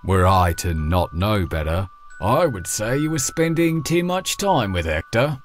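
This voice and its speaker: A man's voice: lowish voice, animated, unhurried, soft background music. A man playing an earnest, polite young male paladin trainee in a visual novel, with formal phrasing. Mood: friendly. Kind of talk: scripted visual novel acting.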